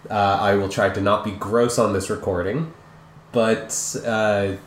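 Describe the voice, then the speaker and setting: A man's voice: fairly low pitch, fairly even intonation, measured pace, echoey room, slight background noise. A man in his thirties co-hosting a casual podcast, stuffed up from a cold, rambling and joking with lots of fillers. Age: 30s. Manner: rambling, jokey, many fillers. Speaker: podcast co-host. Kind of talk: casual chat.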